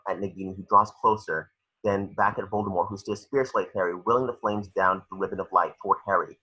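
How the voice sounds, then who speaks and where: A man's voice: on the low side, pushed and bright, slightly rough, highs cut off. A man reading screenplay stage directions as narrator at an amateur table read, occasionally stumbling over the words.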